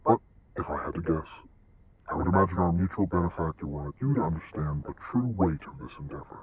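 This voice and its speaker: A man playing a courteous but menacing villain in an audio drama, speaking in a formal, measured, condescending way. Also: deep voice, narrow, tinny audio, slight background noise, natural voice.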